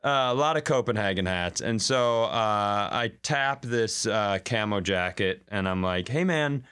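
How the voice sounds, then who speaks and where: A man's voice: fairly low pitch, expressive, a little slow, a bit bright, clean tone. An American man co-hosting a comedy podcast, telling a story casually in a self-deprecating, stop-and-start way.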